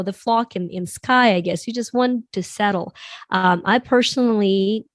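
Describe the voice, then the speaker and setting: A woman with a raspy voice, a guest coach talking reflectively in a podcast interview and often adding "you know". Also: medium pitch, no echo.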